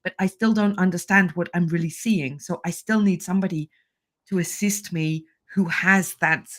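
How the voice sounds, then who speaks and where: A woman's voice: lowish voice, normal speed. A woman hosting an interview podcast, thinking aloud and building her questions step by step in a conversational way.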